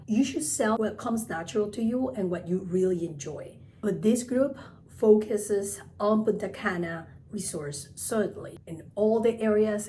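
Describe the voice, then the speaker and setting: A woman with a Spanish accent, native in Spanish, speaks at a middle pitch as she teaches like a trainer. She stresses and repeats her key points. Ambient noise is clearly audible in the recording. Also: dark tone. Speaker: travel agent and group trainer. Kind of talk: instructional video talk.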